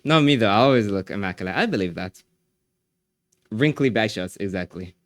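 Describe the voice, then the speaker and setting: Brief: male streamer, low voice, dry desk mic, easygoing chat replies. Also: animated, a little fast, no room sound. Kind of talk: casual live-stream chat.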